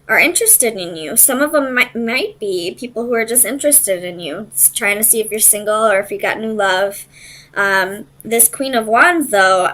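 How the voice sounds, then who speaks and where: A woman's voice: a little high, fairly bright tone. A woman doing an informal tarot reading, speaking straight to the listener and thinking aloud in loose, tentative phrases. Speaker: tarot reader and host.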